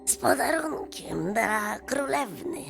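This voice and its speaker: Woman acting elderly storybook man, patient and wise, very slow, widely swooping pitch, creaky, emphatic. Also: sing-song, exaggerated voice. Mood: humble, polite. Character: old male prisoner, patient, humble, wise and forgiving. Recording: music behind the voice.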